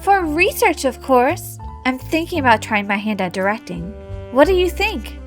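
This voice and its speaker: A woman's voice: high voice, very animated, moderate pace, faint music behind, pitched above the performer's own voice. A woman voicing a theatrical young female celebrity actress with a grand, teasing manner, in a game story. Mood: breezy, excited.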